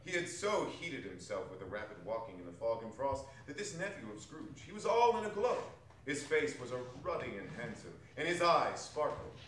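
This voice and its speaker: Animated male stage narrator, echoey hall. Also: medium pitch, normal speed. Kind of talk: theatrical scripted narration, live dramatic reading. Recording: distant, echoey, noisy hall recording.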